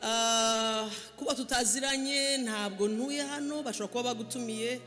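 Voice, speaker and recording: A woman from Rwanda, a pastor, preaching with fervour and rising to shouts as she urges the congregation. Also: a little high, fairly even intonation, moderate pace. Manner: shouting fervently, urging the congregation. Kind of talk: sermon preaching in Kinyarwanda, interpreted. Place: church hall. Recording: echoey hall with music.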